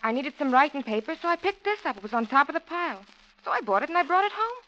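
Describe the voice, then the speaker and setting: An anxious, insistent wife in a 1940s radio play. Her voice is high and bright, fretful and full of swoops. The old recording sounds dull. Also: very animated, fast, heavily acted. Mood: anxious, pleading. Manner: fretful, urgent, colloquial. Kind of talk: 1940s radio drama acting.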